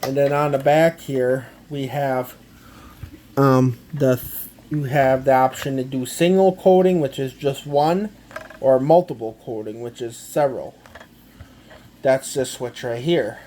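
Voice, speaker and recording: A man gives a casual, halting, step-by-step product walkthrough, often repeating himself. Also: medium pitch, warm tone, a little hiss or hum. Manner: halting, repetitive, step-by-step explaining. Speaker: amateur gadget demonstrator.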